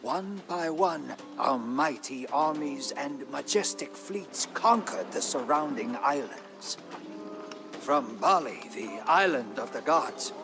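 An actor playing a proud, ambitious, ageing Majapahit minister in a game, narrating his story in a solemn, theatrical, deliberate manner. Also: fairly high pitch, expressive. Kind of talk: dramatic scripted game narration in first person. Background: background music.

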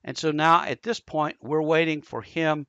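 A man's voice: fairly high pitch, steady tone, normal speed, a bit bright, smooth, no echo, dull audio. An American man giving a casual screen-recorded tutorial, explaining informally step by step. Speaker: amateur radio instructor.

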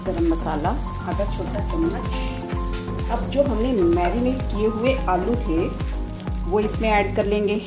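Female cooking host, mid-pitched, methodical recipe voice-over in a roomy room with clear music behind. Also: slow. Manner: steady step-by-step instructions, addresses viewers as friends. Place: home kitchen. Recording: roomy kitchen sound with music.

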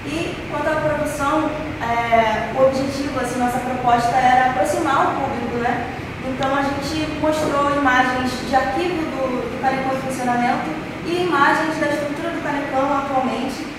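A woman's voice: sits high, fairly even intonation, moderate pace. A young woman, giving a student presentation in a classroom. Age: young adult. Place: classroom, indoors. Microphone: distant camera microphone. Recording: echoey room from far off, noisy.

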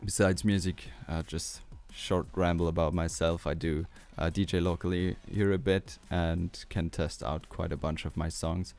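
A young man in his twenties from Austria with an Austrian German accent, walking through a music tutorial in a relaxed, hesitant way with many fillers, on a studio mic in a small home studio. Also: deep voice, moderate pace, few pauses. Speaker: music producer. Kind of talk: tutorial walkthrough. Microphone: studio mic on boom arm with headphones.

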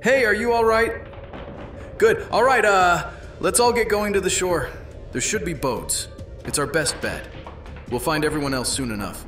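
A man's voice: high voice, expressive, normal speed, a bit bright, soft background music. A man playing a brave young Roman soldier who takes charge with urgent orders in an audio drama. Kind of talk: audio drama roleplay acting.

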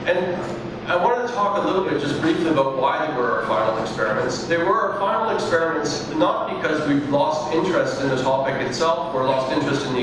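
A man's voice: on the high side, noisy background. A man giving a live science talk with slides, explaining step by step with frequent fillers and restarts. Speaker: speaker at a public science talk. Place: event room with projected slides. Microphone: hand microphone, recorded from the audience. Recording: echoey room, taken from far off.

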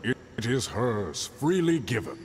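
An actor playing a noble, solemn former ruler and warrior with a formal, dignified delivery in a video game. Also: male voice, mid-range voice, sing-song, takes it slowly. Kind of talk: video game fighter intro dialogue. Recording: cavernous reverb, noisy game ambience.

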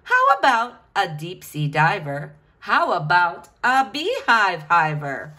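A woman's voice: lowish voice, sing-song, takes it slowly, bright, forceful tone. A woman reading a picture book aloud to children, with a sing-song, questioning delivery.

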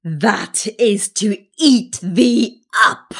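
A woman voicing a sly, hungry male wolf with slow, coaxing, falsely sweet speech. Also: medium pitch, breathy edge, pitched above the performer's own voice, full character voice. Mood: sly, menacing.

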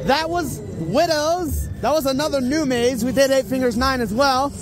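A woman vlogging her walk through a dark haunted maze, chatting and reacting with startled exclamations to the actors. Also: high-pitched, normal speed, background noise. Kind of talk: casual running commentary. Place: dark indoor haunted maze at a theme park. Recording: loud music and crowd behind.

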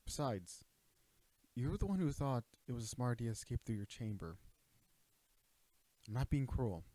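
A man's voice: mid-range voice, sing-song, moderate pace, mellow, dry recording, close to an everyday voice, rough recording. A man playing an earnest royal knight and bodyguard in a romantic audio roleplay, speaking formally and hesitantly to his princess. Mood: stern, pleading. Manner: earnest, hesitant, stammering, formal courtly address. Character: young male knight, protective royal bodyguard, earnest and flustered. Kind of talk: romantic audio roleplay acting, addressing the listener.

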